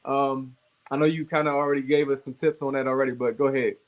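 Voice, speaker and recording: A man co-hosting a casual sports talk show, giving measured analysis and moderating. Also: medium pitch, flat delivery. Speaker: podcast co-host.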